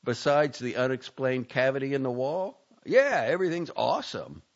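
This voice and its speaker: A man voicing a blunt, exasperated young man who lectures his friend loudly and impatiently. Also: mid-range voice, very animated, moderate pace, lo-fi sound, pitched above the performer's own voice. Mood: wry. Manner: loud, sharp and impatient.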